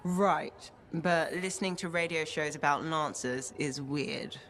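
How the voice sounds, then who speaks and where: An actress playing a bookish, opinionated and dry-witted code-breaker in a video game. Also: female voice, sits low, measured pace, fairly bright tone. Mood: dry, teasing. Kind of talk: video game dialogue acting.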